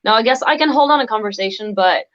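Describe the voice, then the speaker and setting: A woman's voice: fairly high pitch, fast talker, fairly bright tone. Chatty woman, native French speaker, lo-fi. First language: French and Moroccan Arabic. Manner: chatty, laughs often, many fillers. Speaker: guest singer. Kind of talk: casual live video chat interview. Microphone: video call.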